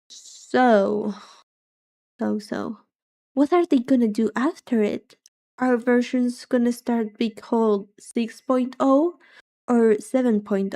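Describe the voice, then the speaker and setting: A soft-voiced woman livestreamer chatting casually and reacting, with a musing, hesitant, playful manner. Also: a little high. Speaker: livestreamer, vtuber.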